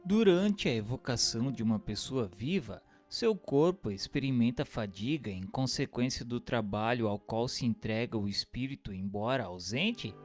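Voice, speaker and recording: In a long, hall-like reverb with faint music, a man reads as narrator. His mid-pitched voice delivers scripted notes and questions formally and deliberately. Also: animated. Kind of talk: scripted narration, reading aloud.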